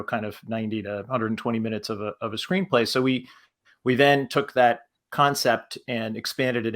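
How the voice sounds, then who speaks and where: A man speaking informally as a panelist, halting and repeating words as he explains. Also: lowish voice, a bit bright. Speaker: panelist, producer.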